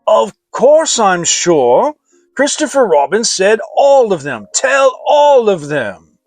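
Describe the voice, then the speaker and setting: A man's voice: sits high, big pitch swings, slow pace, dry recording, faint music behind, pitched above the performer's own voice, full character voice. A man voicing a fussy, sulky, self-important owl in a children's storybook, with emphatic delivery. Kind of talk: dramatic children's storybook reading.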